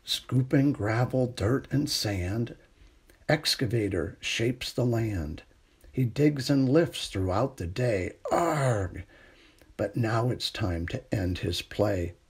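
Man, storytime reader, gentle, slow-paced, raspy, children's bedtime book. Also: medium pitch, lively intonation. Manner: gentle, unhurried bedtime delivery with hushed refrains. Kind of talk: reading a children's picture book aloud.

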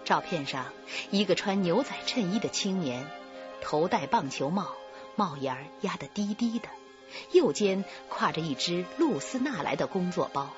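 A woman narrates a radio crime drama in a low voice. Her scripted delivery is expressive, with wide pitch movement, over faint music in slightly dull sound. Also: moderate pace.

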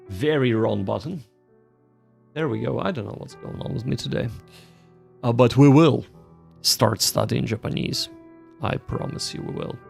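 A man hosting a livestream, chatting casually and explaining things to viewers in a halting way, often repeating himself. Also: mid-range voice, vocal fry, a little mellow. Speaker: livestream host of a Japanese study session.

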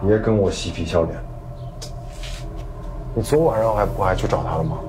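An actor playing a cocky young gang enforcer who makes casual threats in a taunting, swaggering drawl. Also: male voice, sits low, moderate pace, noisy background, natural voice. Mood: pressing. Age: young adult. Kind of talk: screen drama acting. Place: furnished room indoors.

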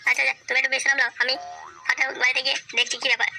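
A woman voicing a fussy, crude, excitable villager in a comedy cartoon. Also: sits high, very fast, creaky, noisy background. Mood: worried.

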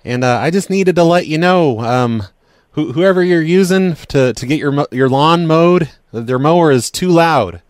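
A man's voice: fairly high pitch, expressive, moderate pace. A man making an improvised prank phone call as a homeowners association official, hesitant, stammering and stubbornly argumentative. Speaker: prank caller.

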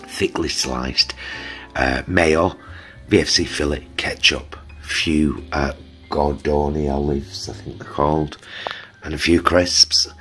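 A man's voice: very deep voice, steady tone, slow, a bit bright, faint music behind. A middle-aged man with a Northern English accent, chatting casually and affectionately as he hosts a homely food vlog. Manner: affectionate, homely, addresses viewers as loves. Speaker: vlog host.

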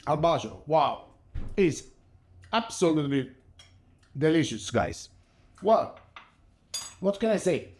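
A man's voice: on the high side, big pitch swings, takes it slowly, pauses often, slightly rough. A middle-aged Italian man with an Italian accent, chatting casually in non-native English as he demonstrates a recipe in his home kitchen. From Italy. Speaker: cooking show host.

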